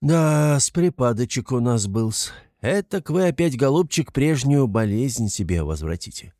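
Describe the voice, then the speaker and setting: A man reader puts on a cunning male investigator from a classic novel. He rambles and fusses, coaxing with obsequious, fatherly endearments that hide a sly intent. The pitch is medium and the sound is dry. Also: lively intonation, unhurried, warm tone, no echo, close to an everyday voice. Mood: fussy concern. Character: wily, fussy, cackling male police investigator, falsely fatherly.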